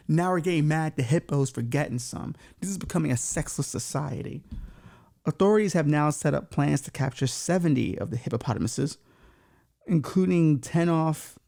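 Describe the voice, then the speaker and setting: A man's voice: fairly high pitch, vocal fry, mellow. A man hosting a comedy podcast, reading news stories aloud with stumbles and crude, mocking jokes. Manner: crude, mocking, swears freely. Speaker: podcast host. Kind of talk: comic riffing on news stories.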